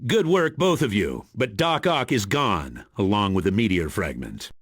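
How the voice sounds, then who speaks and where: An actor playing a serious agency chief in a video game, briefing heroes with formal authority. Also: male voice, on the low side, big pitch swings, normal speed, slightly rough, no echo. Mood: urgent. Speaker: mission commander.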